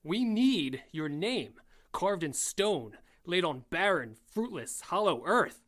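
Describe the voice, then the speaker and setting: A man's voice: high-pitched, sing-song, slow, fairly bright tone, heavily acted. A man playing a pompous, fiery young crusader in an audio drama, speaking in grandiose archaic oratory. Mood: outraged. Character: pompous, fiery young male crusader rallying his followers.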